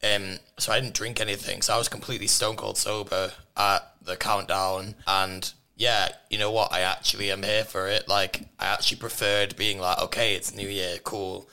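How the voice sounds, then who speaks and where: A young man hosting a casual radio chat show from a studio, his voice hoarse and worn, teasing and laughing often. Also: fairly low pitch, flat delivery, moderate pace, hardly any pauses, sharp tone, poor audio. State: hoarse, lost voice. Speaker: radio host. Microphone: studio microphone.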